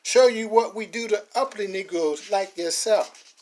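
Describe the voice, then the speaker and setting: A menacing, hostile police officer, put on by a man, drawling each threat slowly and deliberately. The voice sits high for a man and stays tense and hard throughout. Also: a little mellow, lightly acted.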